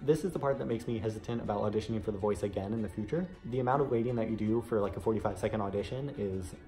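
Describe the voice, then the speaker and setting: Fast-talking man telling a casual vlog story. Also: fairly low pitch, music in the background. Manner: chatty, frequent "like" asides. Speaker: vlogger recounting an audition.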